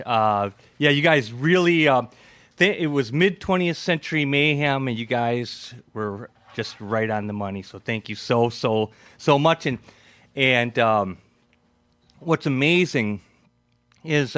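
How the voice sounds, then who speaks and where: A man hosting live radio in unscripted chat, effusive and colloquial, with halting phrasing. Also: medium pitch, a bit bright, no room sound. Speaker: host and playwright.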